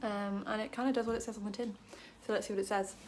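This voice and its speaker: A woman's voice: mid-range voice, little pitch movement, quick pace, slight background noise. A woman from Wales giving a casual, chatty Bible study talk to camera, full of ums and light jokes about herself. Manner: chatty, many ums, self-deprecating jokes.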